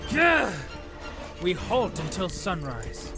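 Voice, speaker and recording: A man plays an impatient young-sounding adventurer in a fantasy tale, fairly high and very lively in pitch. He is anxious and insistent. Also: takes it slowly, over music, exaggerated voice. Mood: frustrated. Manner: urgent, insistent.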